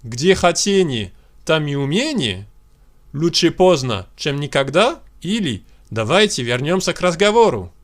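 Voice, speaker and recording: A man from France teaching a beginners' French lesson, speaking model sentences slowly and deliberately for learners to repeat. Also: fairly high pitch, big pitch swings.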